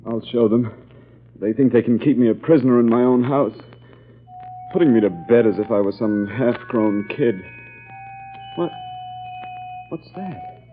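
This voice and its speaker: An actor playing a rebellious young drug addict in an old-time radio drama. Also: male voice, medium pitch, unhurried, pauses often, close to an everyday voice. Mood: defiant, angry. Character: defiant, rebellious young drug addict falling under a spell. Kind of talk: 1930s radio acting. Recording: tinny old radio, music behind. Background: music.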